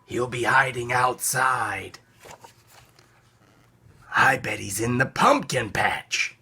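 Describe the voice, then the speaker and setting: A man voicing a bossy, snappish cat in a children's storybook, with a drawn-out delivery. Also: mid-range voice, sharp tone, breathy edge, heavily acted. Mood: scornful.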